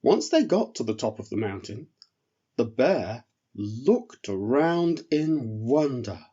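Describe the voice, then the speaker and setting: A man reading a children's story as narrator, in a measured, expressive way. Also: medium pitch, husky, muffled recording. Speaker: storyteller, author reading own work.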